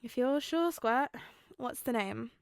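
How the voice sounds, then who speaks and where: A young female receptionist, read by a woman in a story. Her voice is fairly high and everyday. The sound is close and dry. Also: slow pace, gravelly, no echo. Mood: bored, dismissive. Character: bored, dismissive young female receptionist turned nervously deferential.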